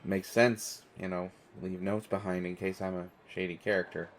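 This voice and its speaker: Man acting as stressed male traveler, low pitch, hesitant, self-deprecating, rambling, audio roleplay, noisy ambient background. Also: little pitch movement, normal speed. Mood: nervous, friendly. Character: stressed, self-deprecating, observant male stranger waiting at airport. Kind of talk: one-sided conversational audio roleplay.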